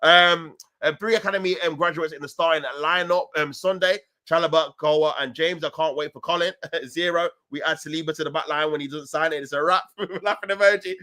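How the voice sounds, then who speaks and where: A London man talks very quickly in a slightly high voice, hosting a casual football livestream. He answers his chat in an animated, slangy style, landing his points with emphasis. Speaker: football fan channel livestream host. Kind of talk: casual live chat, reading and answering viewer comments.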